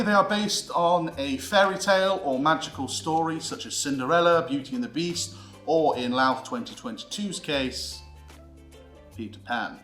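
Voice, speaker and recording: A man's voice: on the high side, fairly bright tone. A British man presenting a scripted history lesson in a theatrical, mock-lecturing way, with emphatic delivery and comic asides. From United Kingdom. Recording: roomy echo with music underneath. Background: background music with guitar.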